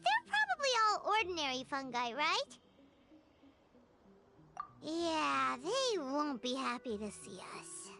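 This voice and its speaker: Squeaky little fairy companion. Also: female voice, wildly swooping pitch, slow, vocal fry, pauses often, over music, full character voice. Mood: worried. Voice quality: squeaky, childlike. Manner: emphatic, speaks of self in third person. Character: small childlike female fairy companion, chatty and earnest. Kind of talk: anime-style video game voice acting.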